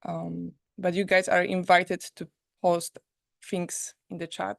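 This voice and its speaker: Slow, soft-spoken woman with a Polish accent. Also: fairly low pitch, little pitch movement, gravelly, dry recording, studio quality. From Poland. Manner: soft, unhurried, gently inviting. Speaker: host. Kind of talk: live online premiere introduction and guided reflection. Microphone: video call.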